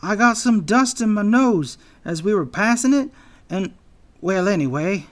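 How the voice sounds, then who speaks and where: An anxious anteater from a fantasy storybook, acted out by a man reader. His voice sits high and frets along hesitantly, always worried for his friend. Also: lively intonation, a little slow. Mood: worried, sheepish. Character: nervous, fretting male anteater friend in children's fantasy.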